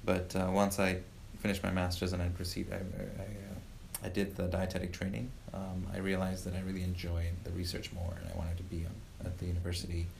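A man in his thirties from Uganda, a professor answering interview questions in a measured way, with many "uh" fillers and restarts. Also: low-pitched, completely flat delivery, creaky, darkish tone, a little hiss or hum, low-quality recording. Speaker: professor and guest. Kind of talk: podcast interview.

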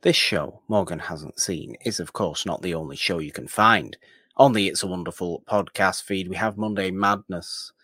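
A man's voice: low voice, normal speed, bright, forceful tone, breathy edge, dry recording, studio quality. A man co-hosting a podcast, casually discussing films in an emphatic way, often repeating words and phrases for stress. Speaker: podcast co-host.

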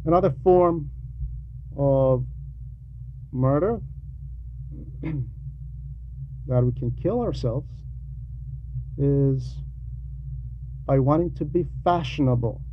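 A man giving a sermon in a halting, explanatory and admonishing way, with conversational asides. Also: medium pitch. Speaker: preacher reading a translated homily. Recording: dull lo-fi recording with faint noise.

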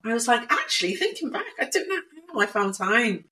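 A woman's voice: on the high side, a little fast. A woman in her thirties, a guest sibling, chatting casually on a podcast and laughing often as she tells stories. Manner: relaxed storytelling, laughs often.

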